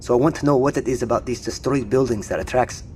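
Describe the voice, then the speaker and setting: An actor playing a gruff, wary British Royal Marines sergeant in an audio drama, slangy and commanding. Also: male voice, medium pitch, fast, darkish tone, a little hiss or hum, lightly acted. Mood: wary, curious.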